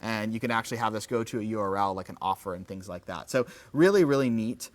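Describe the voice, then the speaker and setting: Man, lowish voice, casual live demo, conversational, upbeat enthusiasm, informal presenter. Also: fast. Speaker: company executive presenting a livestream.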